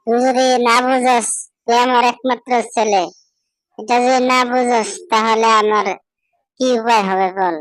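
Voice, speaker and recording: A woman's voice: a little high, fairly even intonation, measured pace, dry recording, natural voice, low-quality recording. A woman voicing an aggrieved, complaining widowed mother of a grown son in a cartoon. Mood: pleading, worried. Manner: complaining, pleading.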